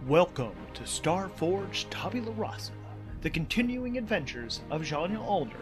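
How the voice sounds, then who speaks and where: A man hosting a solo tabletop role-playing game, narrating and thinking aloud in an informal, animated, enthusiastic way. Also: high-pitched, moderate pace. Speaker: host and player of a solo tabletop RPG podcast. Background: music.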